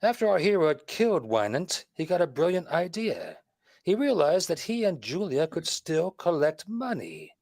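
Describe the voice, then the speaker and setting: A man performs a shrewd, confident detective from a 1940s radio mystery. His fairly high voice moves animatedly as he lays things out with emphasis and a wisecracking edge, in a dry, close sound. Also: very animated, normal speed, gravelly, darkish tone, no room sound, heavily acted. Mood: confident, explanatory. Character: shrewd, wisecracking male detective laying out a murder case.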